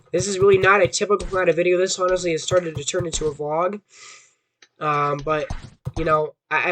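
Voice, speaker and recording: Hoarse young man rambling. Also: sits high, fairly bright tone, slight background noise. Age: young adult. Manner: rambling, self-doubting, restarts a lot. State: hoarse from a sore throat. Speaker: gaming YouTuber. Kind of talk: casual rambling commentary.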